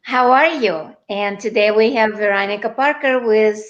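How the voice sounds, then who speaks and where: A woman's voice: fairly high pitch, normal speed. A woman from Russia co-hosting a casual online interview over a video call, playful and laughing between her words. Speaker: co-host. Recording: dull lo-fi video call audio.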